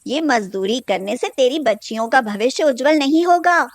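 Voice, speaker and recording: A wealthy, self-assured cartoon lady performed by a woman, generous and a little bossy. Her high voice is emphatic and brisk, recorded close and dry. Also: expressive, no echo. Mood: firm, encouraging. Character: rich, confident woman, generous and bossy old school friend.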